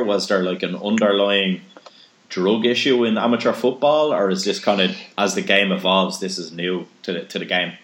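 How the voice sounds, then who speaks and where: A man's voice: sits low, a bit bright. A man hosting a casual podcast interview, chatty and reading news items aloud. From Ireland. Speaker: podcast host. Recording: echoey room.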